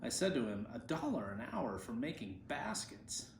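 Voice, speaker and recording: A man's voice: medium pitch, very animated, normal speed. Man reading as an aged monk, echoey room. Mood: recounting. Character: aged monk in his seventies, chatty, humble basket weaver. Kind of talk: storybook reading of quoted speech. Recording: roomy echo, faint background noise.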